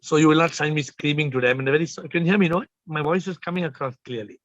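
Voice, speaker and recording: A man hosting a spiritual video call, talking with a slightly low, subdued voice, emphatic and repeating phrases as he questions listeners. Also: rapid-fire, fairly bright tone, no echo. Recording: muffled video call audio.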